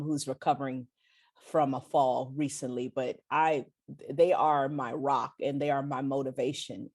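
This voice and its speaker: A woman's voice: low voice, a little mellow. An American woman from Los Angeles speaking as an interview guest in a casual, informal, emphatic way. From Los Angeles, United States. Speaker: interview guest, professor and author.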